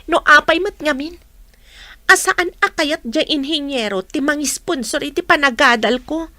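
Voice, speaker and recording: A woman's voice: high-pitched, moderate pace, sharp tone, dry recording. A woman playing a demanding, sulky young student daughter in an audio drama. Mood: evasive, complaining.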